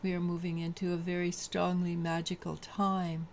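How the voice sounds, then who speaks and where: A woman with a low voice giving a daily tarot reading, halting and full of restarts. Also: level tone, slight background noise. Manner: halting, many restarts and fillers. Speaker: tarot reader.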